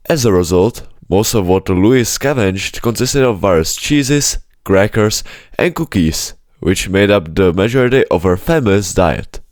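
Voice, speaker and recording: Deep-voiced man reading a storybook. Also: a little mellow, no room sound, studio quality. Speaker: audiobook-style story reader. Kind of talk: scripted storybook narration.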